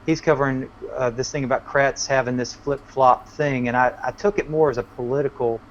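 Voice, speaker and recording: A man's voice: medium pitch, fairly even intonation, a little fast. A man speaking as a musician guest in a casual interview, laid-back and folksy. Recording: dull lo-fi connection, faint noise.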